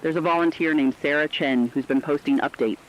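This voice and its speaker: A man's voice: on the high side, a little fast, lo-fi sound, close to an everyday voice. A man playing a concerned, compassionate radio co-host, giving scripted commentary in an earnest, emotive way. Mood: somber concern.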